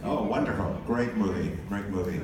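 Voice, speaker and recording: A man's voice: lowish voice, moderate pace, a crowd in the background. A middle-aged man moderating a film Q&A on stage, chatty and enthusiastic. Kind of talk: live Q&A moderating. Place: large cinema stage. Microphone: handheld mic heard from the audience. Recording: echoey theater recorded from far, with audience noise.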